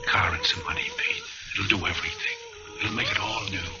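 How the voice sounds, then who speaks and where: An actor playing a washed-up older American drunk on the run, rambling and wheedling, in an old-time radio noir drama. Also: male voice, mid-range voice, huge pitch swings, fairly quick. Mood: wistful pleading. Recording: dull old radio recording. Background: loud music.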